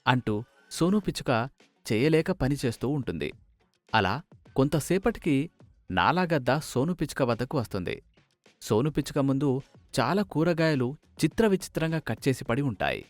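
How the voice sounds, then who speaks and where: A man's voice: medium pitch, very animated, moderate pace, long pauses, dry recording, soft background music. A man narrating a scripted children's cartoon story as its storyteller.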